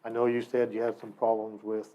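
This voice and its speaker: A man, a county commissioner, talking at a public meeting in a halting, rambling way that repeats and restarts itself. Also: on the low side, monotone, highs cut off. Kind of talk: casual remarks at a public meeting.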